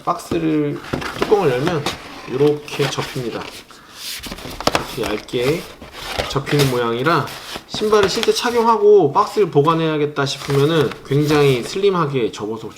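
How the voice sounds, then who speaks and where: A man calmly walking through a sneaker review, explaining details as he handles the box and shoes, softening his opinions as he goes. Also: fairly high pitch, normal speed, background noise. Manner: calm, explanatory, hedging opinions; handling items while describing them. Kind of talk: product review vlog.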